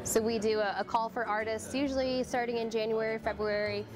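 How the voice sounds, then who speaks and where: A woman's voice: fairly high pitch, a little fast, music in the background. A young woman organizing an art expo, talking casually in an indoor hall with frequent ums and restarts. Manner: relaxed, many ums and restarts. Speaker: program organizer. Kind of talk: casual interview.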